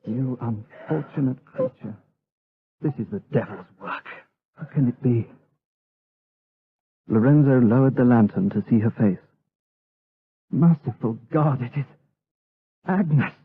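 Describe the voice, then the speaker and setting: A man's voice: mid-range voice, measured pace, long pauses, slightly rough. A man playing an earnest, protective nobleman in a gothic audio drama, speaking formally and dramatically. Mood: shocked, tender. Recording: tinny radio drama, faint noise.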